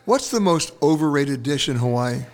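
A man's voice: fairly high pitch, lively intonation, moderate pace. A man hosting a radio interview, asking questions with quippy, teasing banter from a beach hotel. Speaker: radio show host.

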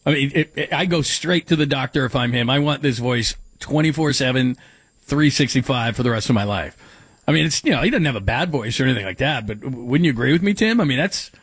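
A man's voice: mid-range voice, animated, fast talker, breathy edge, dry recording. A man hosting a sports radio show, talking casually and rambling, joking with his co-host and laughing at his own bits.

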